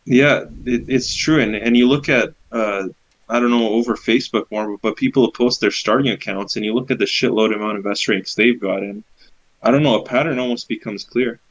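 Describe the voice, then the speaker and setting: A man's voice: medium pitch, fairly quick, lo-fi sound. A man from Romania co-hosting a casual gaming podcast. He talks in a laid-back, hesitant way and often hedges. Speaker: podcast co-host.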